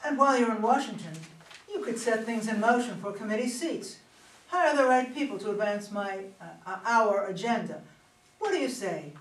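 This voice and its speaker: A woman's voice: a little high, lively intonation, moderate pace, close to an everyday voice. A woman playing a smug, ambitious younger female politician in a play reading, self-assured and calculating. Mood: persuasive. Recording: echoey room, distant, noisy.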